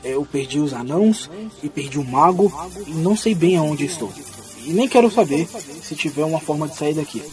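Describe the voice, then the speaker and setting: A man's voice: on the high side, expressive, normal speed, natural voice. A man playing a small, lost hobbit who is wary but polite, speaking emphatically in an audio drama. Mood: anxious, polite. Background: music bed.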